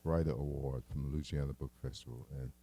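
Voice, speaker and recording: A man leading a poetry workshop, introducing a colleague and himself in a halting way with many fillers. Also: rumbling low, fairly even intonation, mellow, slightly rough. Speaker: workshop leader.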